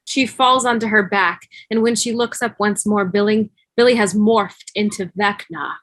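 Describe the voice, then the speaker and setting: Brief: woman narrator, mid-pitched, reading scene headings and action, dry video-call sound. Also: steady tone, moderate pace, a bit bright, no room sound. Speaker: stage-direction narrator. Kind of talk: scripted narration.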